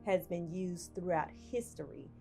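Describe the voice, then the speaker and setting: Woman, slightly low voice; calm, explanatory policy interviewee. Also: vocal fry. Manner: measured, explanatory. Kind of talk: documentary interview. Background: guitar music.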